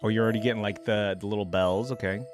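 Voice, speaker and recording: A man's voice: fairly low pitch, fairly even intonation, fast, music in the background. A man giving casual, easygoing reaction commentary as a video host, rambling and thinking aloud.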